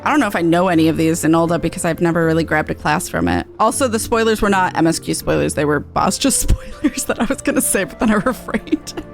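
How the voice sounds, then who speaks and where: A woman's voice: on the low side, fast, creaky, few pauses, soft background music. A woman chatting casually as she streams a video game. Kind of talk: casual live gameplay chat.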